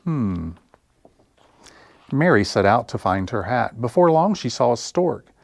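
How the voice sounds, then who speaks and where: A man hosting a story time for young children, reading aloud with deliberate, simple phrasing. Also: mid-range voice, very animated, gravelly, no room sound. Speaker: library story time host.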